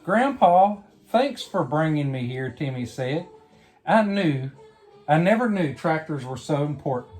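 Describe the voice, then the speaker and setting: Low-voiced woman reading a bedtime story. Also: lively intonation, unhurried, a little mellow, soft background music. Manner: simple questions and prompts addressed to a small child. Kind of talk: reading a picture book aloud to a young child, with chatty asides.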